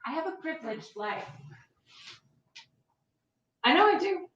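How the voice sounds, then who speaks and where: A woman's voice: high voice, sing-song, unhurried, a bit bright, muffled recording, full character voice. A woman playing a bitter, privileged suburban wife and mother in a staged play reading, emphatic and exasperated. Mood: tense, brittle. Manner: profane ranting, emphatic. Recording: echoey room, far from mic.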